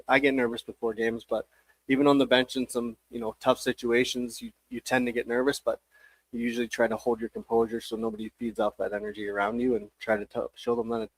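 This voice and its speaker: A man speaking as a baseball coach, giving casual, measured interview answers with hesitant phrasing. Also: medium pitch, no echo, rough recording.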